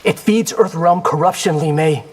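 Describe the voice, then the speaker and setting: A man's voice: on the high side, moderate pace, slightly rough. A man playing a young ninja warrior in a fighting game, wry, cocky and casual. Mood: wry, determined. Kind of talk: fighting game character acting.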